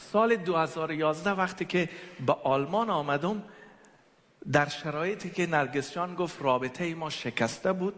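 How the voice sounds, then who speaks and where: Afghan guy preaching, native persian speaker, really fast talker, big echoey church. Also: a little high, a bit bright. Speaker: guest preacher. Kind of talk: sermon with personal testimony, pausing for an interpreter. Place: large echoing church hall. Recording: long hall reverb.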